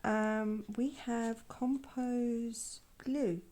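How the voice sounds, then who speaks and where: A woman with a croaky voice from a sore throat, softly talking through a painting tutorial in quiet, half-whispered asides. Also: fairly high pitch, fairly even intonation, extremely slow. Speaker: art channel host. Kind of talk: soft-spoken painting tutorial.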